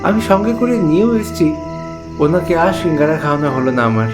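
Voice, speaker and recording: A man's voice: fairly high pitch, big pitch swings, a little fast, over music, voice pushed up. A man voicing a friendly, sentimental village traveller, chatty and earnest, in a dramatised ghost story. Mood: regretful, earnest.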